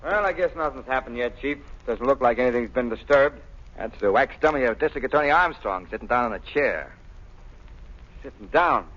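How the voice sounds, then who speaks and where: An actor playing a jumpy, simple police sergeant in 1930s radio style, colloquial and eager, with an American accent. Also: male voice, mid-range voice, expressive, fairly quick, fairly bright tone. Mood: jumpy, uneasy. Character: excitable, jumpy male police sergeant, simple-minded subordinate. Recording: dull vintage 1930s radio recording.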